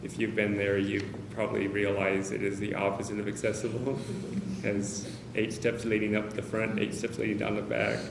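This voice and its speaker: A man speaking candidly to a meeting of caregivers, hesitant, with many pauses and fillers. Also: lowish voice, completely flat delivery, vocal fry. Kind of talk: personal talk to a small group. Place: meeting room with a group of about 45 people. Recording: roomy, noisy meeting-room recording.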